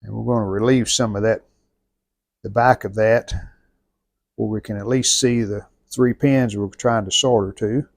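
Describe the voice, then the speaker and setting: A man's voice: lowish voice, steady tone, moderate pace, gravelly, no room sound, studio quality. An American man narrating hands-on repair work in a folksy, plainspoken way, thinking aloud as he goes. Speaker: host of a radio restoration channel.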